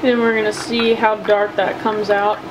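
A woman chatting casually and upbeat while showing how she makes soft plastic fishing baits at her home workbench. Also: medium pitch, level tone, moderate pace. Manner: chatty, upbeat, filler-heavy. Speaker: bait maker showing her process. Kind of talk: casual hands-on tutorial. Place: home workshop bench. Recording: noticeable air-conditioning hum.

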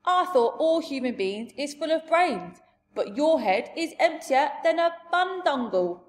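Woman voicing a loud, blustering, good-natured storybook giant. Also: high voice, very animated, measured pace, pitched above the performer's own voice. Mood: scolding. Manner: emphatic, mangled grammar and invented words. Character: big friendly giant, male, blustering, jumbled, good-natured. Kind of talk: storybook character voice.

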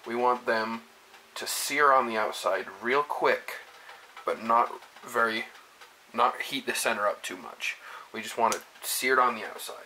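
A man's voice: mid-range voice, unhurried, poor audio. A young man hosting a home cooking show, casually talking through each step at his kitchen stove. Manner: relaxed, folksy, talks to camera step by step. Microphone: camera mic, speaker off frame, moving around. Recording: kitchen noise, sizzling pan. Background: frying and sizzling pan.

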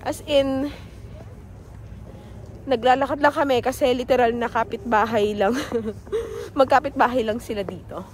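A woman's voice: on the high side, moderate pace, poor audio. A woman in her thirties with a Filipino accent, chatting casually to the camera while walking outdoors, with light laughs and apologetic asides. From Philippines. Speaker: vlogger. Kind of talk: casual walking vlog, mixing Tagalog and English. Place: outdoors on a residential street in winter. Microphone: phone held at arm's length, no external mic. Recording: phone audio with street noise.

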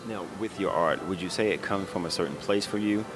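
A man's voice: low-pitched, brisk, over music. A middle-aged man asking questions in a casual on-location interview with a handheld microphone. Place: indoor art expo in a convention center. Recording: noisy exhibition hall background. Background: busy hall noise.

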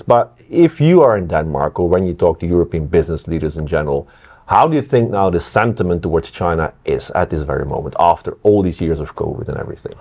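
A man's voice: sits low, darkish tone. A man from Belgium in his forties, co-hosting an interview and asking long, winding questions in a studio. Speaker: co-host. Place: studio with city-view windows.

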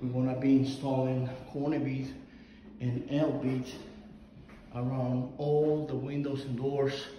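A man talking casually through a drywall job-site walkthrough, plain and halting, filming on his phone inside a house under construction. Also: medium pitch, level tone, noisy background. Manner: plain, halting with fillers and restarts. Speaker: drywall contractor.

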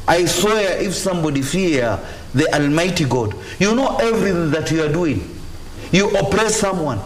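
A man's voice: fairly high pitch, roomy sound, slight background noise, rough recording. A man with a Kenyan accent, a religious leader giving impassioned, repetitive, exhorting answers in a TV interview. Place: TV studio. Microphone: lapel mic.

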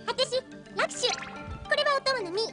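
A woman voicing a cute, cheeky young girl from a celestial world in an anime, squeaky, perky and outspoken, with music behind. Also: expressive, normal speed. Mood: playful. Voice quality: squeaky, girlish. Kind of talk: anime dubbing, exaggerated. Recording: loud music under the voice.

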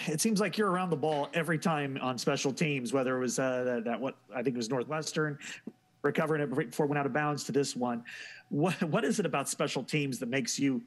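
Rambling male sports reporter. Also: mid-range voice. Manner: rambling, restarting questions. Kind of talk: press conference questions.

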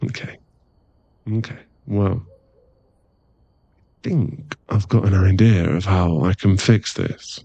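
A man playing an affectionate, teasing werewolf boyfriend in an intimate ASMR roleplay, speaking in a soft, coaxing, halting way with a husky, gravelly voice. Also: deep voice, no echo, lo-fi sound, heavily acted. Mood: coaxing, bossy. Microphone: close microphone.

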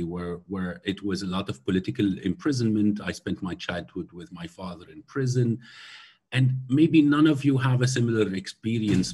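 Keynote speaker, man, 40s, from Syria: unhurried, halting delivery, somewhat low and husky. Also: darkish tone. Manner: slow, thoughtful, many hesitations. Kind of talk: lecture over video call. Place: room at home by a glass door. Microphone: laptop webcam on video call.

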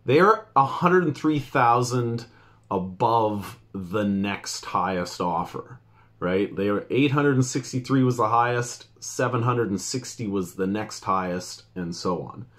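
A man's voice: lowish voice, expressive, unhurried, a bit bright. A man, a realtor explaining things informally to camera in a conversational, emphatic way. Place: small indoor office.

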